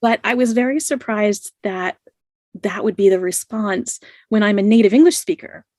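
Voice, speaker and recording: A woman's voice: medium pitch, moderate pace, darkish tone, no echo. Chatty woman, dry close sound. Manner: laughs often, many "you know" and "like" fillers. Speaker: podcast guest. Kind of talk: casual storytelling in an interview.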